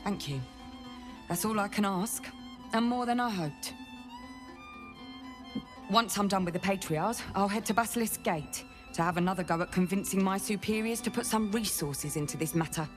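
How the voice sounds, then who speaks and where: An actress playing an earnest, dogged city guard investigator in a video game, with an intense, deliberate, confiding delivery. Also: female voice, medium pitch, lightly acted. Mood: grateful, solemn. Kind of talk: dramatic game dialogue. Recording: background music under the voice.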